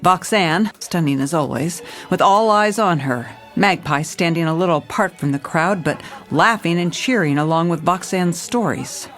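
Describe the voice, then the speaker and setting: A woman's voice: lowish voice, moderate pace, few pauses, fairly bright tone, soft background music, natural voice. A woman playing a jaded, hard-boiled police commissioner, narrating a noir audio drama in a dry, world-weary, stern way. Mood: tense, wry.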